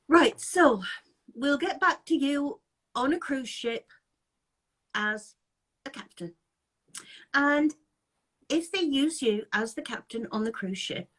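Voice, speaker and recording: Woman from England, live-chat host, chatty, laughs a lot, fairly high voice, video call. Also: a bit bright, poor audio. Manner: chatty, laughs often, trailing restarts. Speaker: interviewer, anti-scam group host. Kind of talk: casual live-chat interview.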